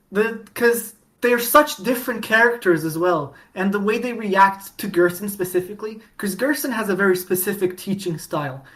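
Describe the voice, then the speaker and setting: A woman with a fairly low voice co-hosts a casual fan podcast. She rambles eagerly, trips over her words and restarts her sentences often. She pleads and gushes when something excites her. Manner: rambling, lots of restarts and fillers; enthusiastic and pleading. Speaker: podcast co-host. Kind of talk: casual fandom chat.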